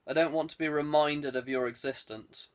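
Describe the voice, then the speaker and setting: A man's voice: on the high side, steady tone, moderate pace, close to an everyday voice. A man voicing an angry, menacing lord and crime boss in an audiobook, commanding and contemptuous. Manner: commanding, contemptuous, bellowing when angered. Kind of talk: audiobook character dialogue.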